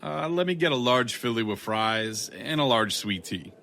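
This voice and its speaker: A man's voice: medium pitch, lively intonation, moderate pace, gravelly, pushed and bright, slight background noise. An actor playing a wry, unafraid tough guy in an audio drama, speaking in a dry, blunt, sardonic way. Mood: friendly, easygoing. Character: wry, unafraid, plain-spoken male tough guy with a kind streak.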